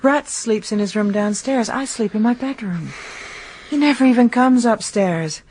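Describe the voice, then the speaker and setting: A woman's voice: a little high, normal speed, darkish tone, slight background noise, close to an everyday voice. An actress playing an affectionate, flirtatious married woman in her late thirties in a period radio drama. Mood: tender. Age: 30s.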